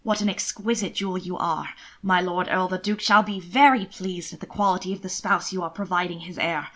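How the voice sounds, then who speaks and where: A woman voicing a warm, courtly male servant of a duke, formal and pleased, in a period story. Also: on the low side, fairly even intonation, brisk. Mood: pleased, flattering.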